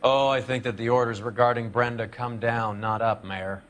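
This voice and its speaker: An actor playing a sly, insolent vice detective in a video game, smug and insinuating, with an American accent. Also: male voice, mid-range voice, fairly even intonation, normal speed, a bit bright, lightly acted. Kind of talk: video game dramatic acting.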